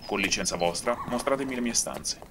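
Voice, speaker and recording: A man's voice: on the low side, expressive, fairly quick, vocal fry, very noisy. An actor playing a gracious, courteous king in an Italian radio drama, speaking in a courtly, formal way. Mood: gracious, genial.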